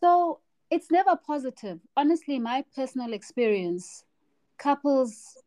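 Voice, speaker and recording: A woman's voice: sits high, big pitch swings, measured pace, mellow. Reflective woman podcaster. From South Africa. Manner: reflective, searching for words with many restarts. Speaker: podcast co-host. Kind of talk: casual podcast chat.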